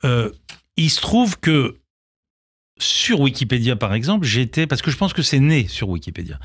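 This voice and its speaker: A man's voice: mid-range voice, very animated, normal speed, breathy edge, no room sound, studio quality. A middle-aged man, a film director and actor, answering radio interview questions, often hesitant with restarts before pressing his point firmly. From Israel, grew up in France. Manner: hesitant, many restarts, then emphatic. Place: radio studio.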